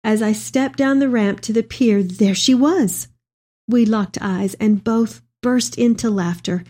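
A woman playing an elderly, devout mother reading her tender letter aloud in an expressive, affectionate dramatic reading. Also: on the high side, measured pace. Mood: fond, joyful.

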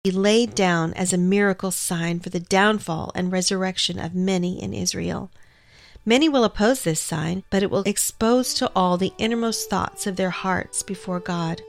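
A woman's voice: mid-range voice, normal speed, vocal fry, no echo. A woman co-hosting a faith program, talking and reading in a devotional style. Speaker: program co-host. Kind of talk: devotional talk and scripture reading.

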